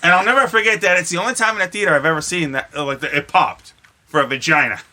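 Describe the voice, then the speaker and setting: A man with a very bright, forceful voice that sits a little high. He co-hosts a casual podcast, telling animated, meandering stories and swearing freely. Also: quick pace. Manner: animated, profane, rambling storyteller. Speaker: podcast co-host. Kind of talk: casual chat.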